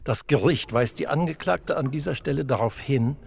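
An actor playing a stern, solemn judge in a radio play, speaking in a formal, measured, admonishing manner. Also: male voice, medium pitch, creaky, slightly rough. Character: stern, solemn male judge in an imagined childhood trial.

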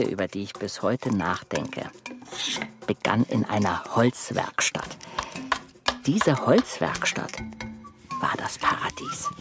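Slow, lively storytelling woodworm. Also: male voice, on the low side, creaky, full character voice. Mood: dreamy, savoring. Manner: unhurried, expressive storytelling. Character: wry, childlike woodworm larva telling stories. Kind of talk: children's radio play narration.

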